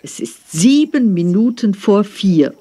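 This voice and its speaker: A woman's voice: medium pitch, sing-song, slow pace, mellow, slightly rough, heavily acted. A woman playing a pleading, coaxing driver. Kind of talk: scripted textbook dialogue.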